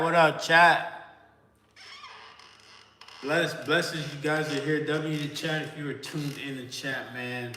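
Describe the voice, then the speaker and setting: A man's voice: mid-range voice, bright, forceful tone. Miami man streaming in a roomy echo. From Miami, Florida. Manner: loose, slangy and profane, talking straight to chat. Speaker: livestreamer. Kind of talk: casual livestream chat to viewers. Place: indoor room, seated in a creaky chair. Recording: roomy echoey room with long reverb.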